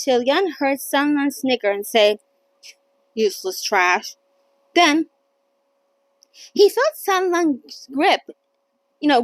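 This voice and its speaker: A woman's voice: high-pitched, no echo, poor audio. A woman hosting a casual, chatty read-along of a novel, playful and often stumbling and correcting herself as she reads. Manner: playful, stumbles and self-corrects when reading. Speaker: host reading a novel aloud.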